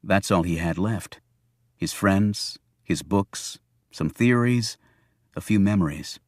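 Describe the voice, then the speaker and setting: A man's voice: low voice, takes it slowly, no room sound, clean studio sound. A man narrating a thriller novel as a scripted audiobook.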